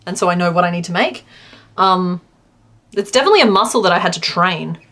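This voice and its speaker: A woman's voice: fairly low pitch, animated, fast. Chatty woman crochet streamer. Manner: chatty, rambling, lots of "like" fillers; reads chat aloud and counts stitches quietly. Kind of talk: casual livestream chat while crocheting.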